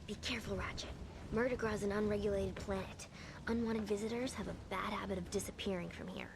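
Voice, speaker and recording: A woman's voice: medium pitch, fairly even intonation, quick pace, noisy background, lightly acted. A woman voicing a young, caring and cautious companion in a video game. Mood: concerned.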